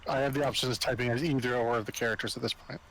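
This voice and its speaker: A man's voice: mid-range voice, steady tone, racing, a crowd in the background, low-quality recording. A man playing a tabletop game on a video call, chatting and explaining things casually. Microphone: online video call.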